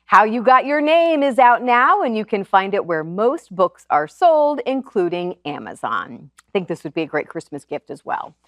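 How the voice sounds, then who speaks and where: Female TV host, scripted presenting, animated intonation, dry studio-close sound. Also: medium pitch, moderate pace, few pauses, no echo. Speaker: TV show host.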